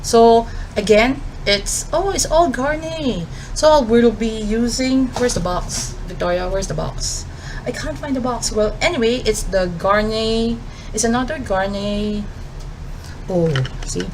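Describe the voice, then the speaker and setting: A woman's voice: on the high side, a little slow, noisy background. A woman in her thirties with a Filipino accent, chatting casually through her makeup routine with lots of "um" and "like". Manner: chatty, with fillers like "um" and "like" while doing makeup. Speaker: beauty vlogger. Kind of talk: casual get-ready-with-me chat.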